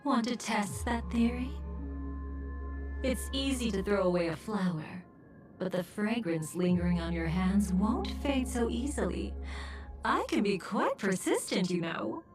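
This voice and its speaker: An actress playing a sly, obsessive video game villainess with a breathy, teasing and softly menacing delivery. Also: female voice, mid-range voice, animated, measured pace, lightly acted. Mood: menacing, savoring. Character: taunting, obsessive, sly villainess, a memory manipulator. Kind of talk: theatrical video game voice acting. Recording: echoey, music underneath. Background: game music.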